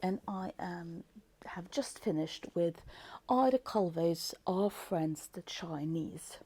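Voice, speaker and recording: A woman with a Norwegian accent, a native Norwegian speaker, reviewing a book in a casual, thoughtful way and often pausing to search for words. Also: fairly low pitch, animated, darkish tone, no echo. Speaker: book vlogger.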